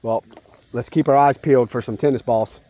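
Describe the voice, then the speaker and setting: A man with a mid-pitched voice speaks as a dad to his young child. His talk is informal and encouraging, with simple guidance and casual chat. He is outdoors at a park. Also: steady tone, a little fast, dark tone. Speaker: father and vlogger.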